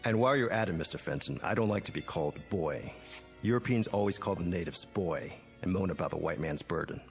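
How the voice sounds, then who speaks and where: A man's voice: low voice, brisk, faint music behind. An actor playing a proud, defensive working man, earnest and curt, in a vintage TV drama. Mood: indignant. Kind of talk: 1960s television drama acting. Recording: reverberant vintage TV soundtrack.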